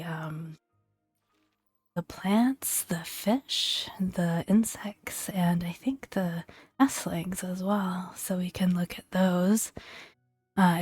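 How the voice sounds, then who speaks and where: Breathy, soft-spoken woman, slow ASMR delivery. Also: fairly low pitch, no echo. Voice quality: breathy, hushed, near-whisper. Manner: gentle, unhurried, soothing. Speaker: gaming ASMR video creator. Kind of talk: soft-spoken ASMR narration, reading and casual commentary. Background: faint soft music.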